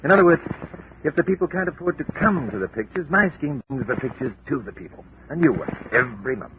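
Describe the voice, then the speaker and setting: A man plays a pompous, earnest gentleman of the art world in an old radio drama. He talks formally and at a quick clip, through a thin, noisy vintage recording. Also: mid-range voice, lively intonation, phone-quality sound, close to an everyday voice. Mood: self-important. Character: formal, earnest, self-important male art exhibition organizer. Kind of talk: 1950s radio drama acting.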